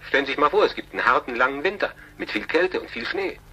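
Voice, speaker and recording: An actor playing an officious, easily exasperated radio department boss in a German radio drama. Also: male voice, mid-range voice, expressive, fast, pushed and bright, breathy edge, low-quality recording. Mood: fussy, exasperated.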